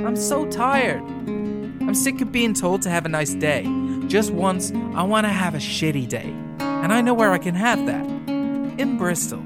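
Man acting an earnest, lively, lovelorn young intern. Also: sits high, measured pace, loud music behind the voice. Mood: heartfelt. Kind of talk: comic radio play acting.

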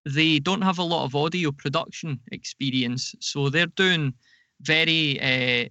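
A man talks through his points as an interview guest, in a creaky voice with a crisp, bright edge. The sound is close and dry. He pauses and rephrases as he explains. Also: on the high side, no room sound, lo-fi sound. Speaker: podcast guest, audio drama producer.

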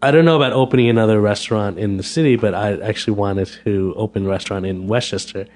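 A man's voice: fairly low pitch, fast talker, darkish tone. A man, a restaurant owner chatting casually as a guest, often restarting and repeating words. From United States. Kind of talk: casual interview chat.

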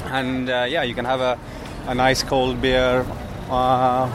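A man who grew up in India talks in a relaxed, chatty way, full of little fillers and restarts. He is out on a city street, and there is plenty of traffic noise around him. His voice sits at an ordinary middle pitch. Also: takes it slowly. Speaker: food startup co-founder. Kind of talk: casual walking interview. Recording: outdoor street recording with traffic.

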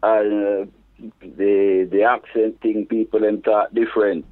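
A man from England with a Jamaican patois accent mixed with London speech, a reggae DJ chatting as an interview guest in a relaxed, halting way. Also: lowish voice. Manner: relaxed, halting, restarts phrases. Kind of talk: casual interview chat. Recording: phone-like narrow sound.

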